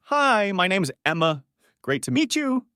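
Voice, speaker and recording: A man teaching beginner English, reading short model sentences clearly and saying each one twice. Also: sits high, big pitch swings, pushed and bright, dry recording, studio quality. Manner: says each phrase twice, clearly and deliberately.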